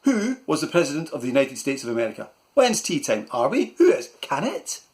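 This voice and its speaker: A man's voice: a little high, big pitch swings, a little fast, pitched above the performer's own voice. A man voicing a blustering, self-important middle-aged uncle who barks questions. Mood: barking. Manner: loud, barking, self-important.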